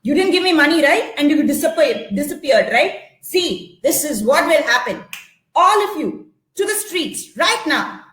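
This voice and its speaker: Cruel folktale landlord, played by a woman storyteller: high-pitched, bullying, demanding, roomy live sound. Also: expressive, normal speed. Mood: angry, bullying. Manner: sharp accusing questions, repeated "right?".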